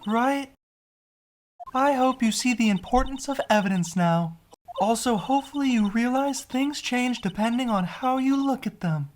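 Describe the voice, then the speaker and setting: A man voicing a poised, kindly woman who is a chief lawyer and mentor, measured and gently teasing, in a fan-dubbed game. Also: very high-pitched, soft background music. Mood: earnest, encouraging. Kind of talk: fan-dub video game dialogue.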